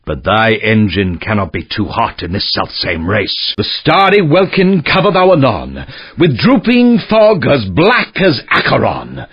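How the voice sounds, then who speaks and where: An actor playing a grandiose Shakespearean bard in a video game, declaiming flowery archaic lines. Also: male voice, fairly low pitch, wildly swooping pitch, a little slow, breathy edge, heavily acted. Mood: grand, theatrical. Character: grandiose, theatrical male Shakespearean bard announcing races. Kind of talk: theatrical video game announcing. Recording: muffled lo-fi game audio, dry.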